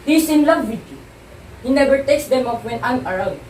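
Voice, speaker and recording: Jealous young wife, played by a woman, high-voiced, imploring, emphatic amateur theatre delivery, roomy sound with faint noise. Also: animated, normal speed, darkish tone. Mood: pleading. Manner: emphatic, pleading, declamatory. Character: distraught, jealous young wife, pleading and accusing. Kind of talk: amateur one-act stage play dialogue. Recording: roomy echo, distant mic, faint noise.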